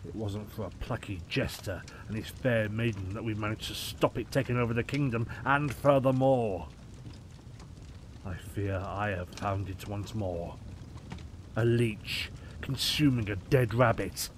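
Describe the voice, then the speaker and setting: A man's voice: lowish voice, measured pace, a little hiss or hum, close to an everyday voice. A man playing a haunted aging medieval knight, solemnly narrating his confession in archaic words for an audio drama.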